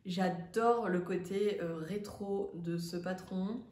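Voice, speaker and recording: A woman with a stuffy nose from a cold, chatting casually as a sewing vlogger and pausing often with fillers. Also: lowish voice, darkish tone. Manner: chatty, hesitant with many fillers. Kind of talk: casual vlog chat. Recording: roomy, distant from the mic.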